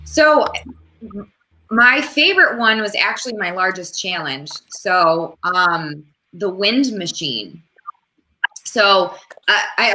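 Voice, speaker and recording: Young female performer, bright voice, chatting casually in an interview over a faintly noisy video call. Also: fairly low pitch. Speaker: stage performer.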